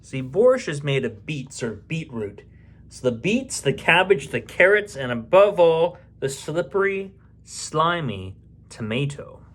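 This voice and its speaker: A man's voice: a little high, sing-song, measured pace, a bit bright. A man reading a storybook aloud expressively, with casual muttered asides as he goes. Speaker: bedtime story reader.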